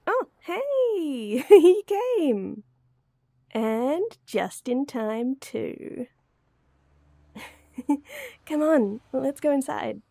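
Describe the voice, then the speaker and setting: A woman's voice: sits high, very animated, very slow, vocal fry, long pauses, dark tone, no room sound, clean studio sound, heavily acted. A young woman playing a playful, flustered friend with a secret crush in an intimate audio roleplay, teasing, giggly and hesitant. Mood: cheerful, giggly. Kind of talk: intimate one-sided ASMR-style roleplay acting.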